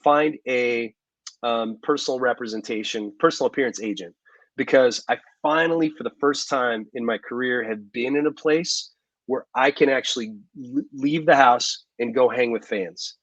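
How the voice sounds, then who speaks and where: A man's voice: medium pitch, moderate pace, a bit bright, no room sound. Rambling man on a dull video call. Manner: chatty, rambling, many ums and restarts. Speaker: actor guest. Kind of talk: casual interview over video call. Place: living room at home. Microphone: video call microphone.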